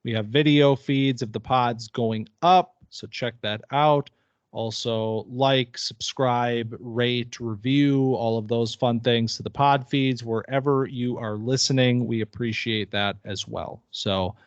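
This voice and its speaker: A man's voice: mid-range voice, fairly even intonation, slow pace, no echo, highs cut off. A man co-hosting a casual sports podcast, chatty and upbeat, often restarting his phrases. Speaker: podcast co-host.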